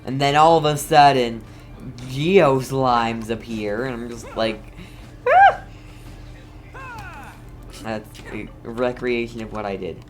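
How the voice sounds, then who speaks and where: A man giving casual, excitable and reactive commentary as he plays a video game. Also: mid-range voice, slow, pauses often, over music. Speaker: game streamer.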